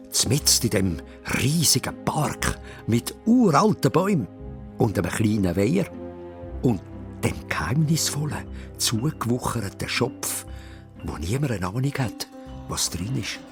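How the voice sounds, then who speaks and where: Animated Swiss German male storyteller. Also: lowish voice. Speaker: narrator. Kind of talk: scripted children's radio play narration.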